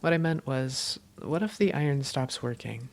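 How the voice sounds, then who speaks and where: A woman playing an affectionate, self-doubting vampire girlfriend in a cozy roleplay audio, speaking in an intimate, teasing and sometimes halting way. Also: extremely low pitch, lively intonation. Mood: worried, tender. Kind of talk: cozy roleplay audio, one-sided conversation.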